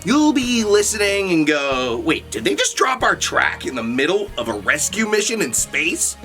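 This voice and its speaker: A man's voice: sits high, very animated, normal speed, bright, forceful tone. A man in a rock band reading an upbeat, casual scripted promo. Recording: faint music behind. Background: music.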